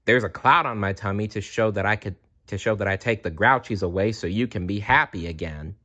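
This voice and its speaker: A man's voice: on the low side, brisk, bright, forceful tone, highs cut off. Man playing a grumpy but kindly teddy bear.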